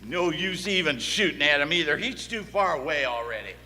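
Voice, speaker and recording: A man's voice: on the high side, animated, brisk, bright, forceful tone, full character voice. A man playing a plain-spoken, wary cowboy in a live Western radio play, with folksy talk in an echoey hall. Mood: anxious. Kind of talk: live Old West radio play acting.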